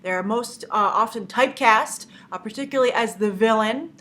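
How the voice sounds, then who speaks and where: A woman's voice: a little high, moderate pace, fairly bright tone. A young woman with a cold and a stuffy nose, hosting a casual movie news show, chatty and opinionated, with lots of "uh" fillers.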